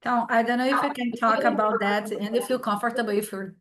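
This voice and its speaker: A woman's voice: a little high, steady tone, a bit bright. Woman hosting an English class. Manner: polite, explaining, slightly halting English. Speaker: English teacher and host.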